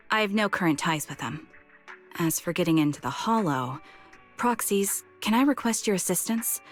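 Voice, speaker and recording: An actress playing a composed, reserved retired female soldier in a video game, speaking in a calm, formal and measured way. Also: lowish voice. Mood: steady, resolute. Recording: music under the voice.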